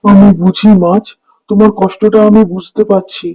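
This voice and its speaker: A man's voice: high voice, flat delivery, moderate pace, mellow. A man voicing a poor, kind-hearted village fisherman and father in a cartoon, with a plaintive manner. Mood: compassionate. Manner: plaintive, lamenting. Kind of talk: cartoon dubbing. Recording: music under the voice.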